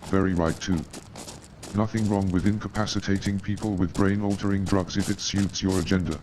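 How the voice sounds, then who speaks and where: An actor playing a weary, wry, sardonic army doctor in a staged radio play, speaking formally. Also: male voice, low-pitched, fairly even intonation, brisk, noisy background, natural voice.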